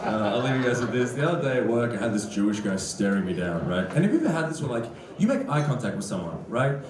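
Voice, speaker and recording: A man's voice: mid-range voice, sing-song, fast talker. Australian stand-up comic, man, echoey club. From Sydney, Australia. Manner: dry, conversational joking with the crowd. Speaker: stand-up comedian. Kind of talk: live stand-up comedy set. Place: on stage in a comedy club. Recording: echoey live comedy club with audience. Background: crowd laughter.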